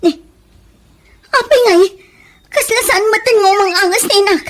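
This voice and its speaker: Very high-pitched fretful Filipino daughter played by a woman. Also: normal speed. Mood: panicked. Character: fretful, caring young daughter. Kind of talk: Ilocano radio comedy drama acting. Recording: noisy background.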